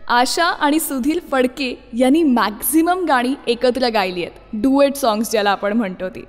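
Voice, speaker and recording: A woman's voice: sits high, moderate pace. A woman presents a radio music show, speaking expressively and conversationally to her listeners. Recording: long reverb, music behind.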